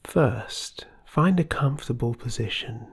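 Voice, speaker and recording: A low-pitched meditation guide speaks softly and very slowly, leaving room between phrases. The voice is husky and breathy with a creaky edge, soothing and often close to a whisper. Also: animated. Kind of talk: scripted guided meditation narration.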